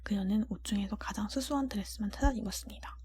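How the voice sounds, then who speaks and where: A woman whispering a storybook in a breathy voice, gently and deliberately, as an ASMR reading. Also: medium pitch. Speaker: audiobook-style narrator.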